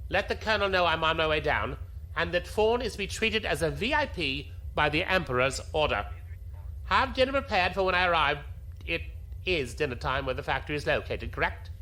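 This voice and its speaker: A pompous imperial commodore played by a man for a science fiction audio drama. His voice is high and bright, and he speaks with haughty self-assurance. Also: animated, rough recording. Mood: haughty, assured. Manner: pompous, drawn-out tag questions.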